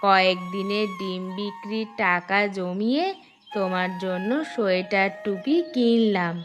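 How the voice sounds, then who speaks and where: A woman voicing a caring, gently nagging old village grandmother for a cartoon. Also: mid-range voice, fairly even intonation, measured pace, natural voice. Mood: fussing, caring. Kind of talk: cartoon voice acting. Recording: music behind the voice.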